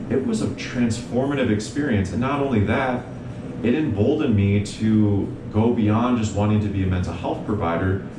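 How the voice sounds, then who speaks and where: A young man speaks in an earnest, measured way, recounting his path as a guest. His slightly low voice sits back from the mic in a roomy, echoing space. A steady rumble hums beneath. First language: English. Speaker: guest, mental health provider. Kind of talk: reflective interview talk. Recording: echoey room, distant mic, noisy rumble.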